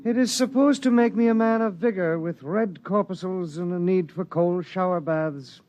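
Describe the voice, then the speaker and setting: A male actor playing a fussy, indolent, learned gentleman in an old radio drama, with precise, formal, languidly pompous speech. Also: high-pitched, normal speed, dull audio, close to an everyday voice. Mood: languid, disdainful.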